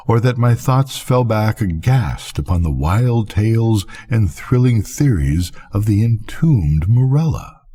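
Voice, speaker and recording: An older man reading a gothic literary tale aloud as a storyteller, solemn and theatrical, with a husky voice. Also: lowish voice, warm tone. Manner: solemn, theatrical, measured delivery. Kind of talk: dramatic literary audiobook narration.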